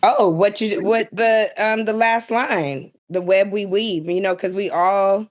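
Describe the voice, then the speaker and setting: A woman's voice: mid-range voice, fairly even intonation, a little slow. A woman talks as a panelist in a casual conversation. Her voice sits in a middle register, and she is candid and colloquial. She does not shy away from salty language. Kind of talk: casual chat.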